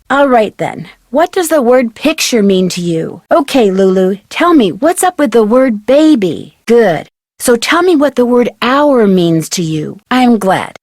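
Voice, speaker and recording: A woman's voice: on the high side, darkish tone, dry recording, studio quality. A woman voicing a young, friendly and persistent amateur detective in an adventure video game, speaking earnestly and politely. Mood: playful coaxing. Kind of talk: scripted adventure game dialogue.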